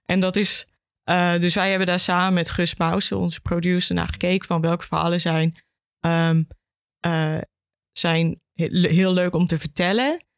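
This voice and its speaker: A woman with a Netherlands Dutch accent explains conversationally as a podcast guest, thoughtful and halting, voicing imagined reactions. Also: fairly low pitch, flat delivery, no room sound, studio quality. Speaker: education officer at a regional archive, interview guest.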